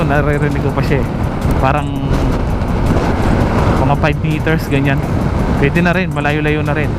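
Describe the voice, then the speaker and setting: Man, slightly high-pitched voice, casual motovlogger review style, conversational, loud background music. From Philippines.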